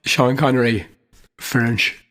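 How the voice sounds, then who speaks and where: A man's voice: medium pitch, steady tone, takes it slowly, fairly bright tone. A man co-hosting a podcast, chatting casually in a dry, teasing way and laughing often. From Ireland. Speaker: podcast co-host. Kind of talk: casual chat.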